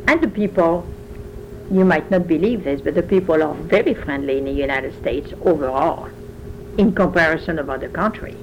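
An older woman with a French accent talks at length, wandering from one story to the next in a somewhat low voice. She answers questions in an oral history interview, and faint background noise runs under her words. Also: normal speed, a little mellow, dull audio. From France. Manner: talkative, rambling storyteller.

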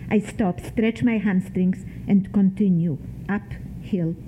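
An older woman from Poland, reading her own memoir in a measured, deliberate way, lingering on single words. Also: mid-range voice, mellow. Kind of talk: slow, measured literary reading. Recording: tinny phone-like sound, faint hiss.

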